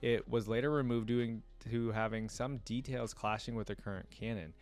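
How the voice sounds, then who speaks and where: A man's voice: medium pitch, steady tone, moderate pace, clear, resonant tone, soft background music. A man reacting casually to game lore as a video creator, reading passages aloud and adding musing, think-aloud asides.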